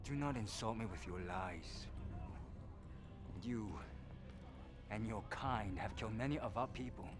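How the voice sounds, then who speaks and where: An actor playing a stern, vengeful crime syndicate leader in a video game, accusing and menacing. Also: male voice, fairly low pitch, unhurried, long pauses, close to an everyday voice. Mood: menacing, outraged. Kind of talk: video game cutscene acting. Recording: music behind the voice.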